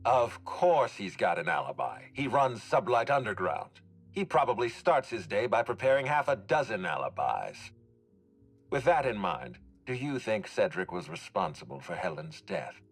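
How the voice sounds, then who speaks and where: An actor playing a stern man of authority in a video game, with a dry, sarcastic, deliberate delivery. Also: on the low side, very animated, breathy edge, faint music behind. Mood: brisk, suspicious. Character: stern, sardonic man of authority overseeing a murder inquiry. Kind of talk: video game character dialogue.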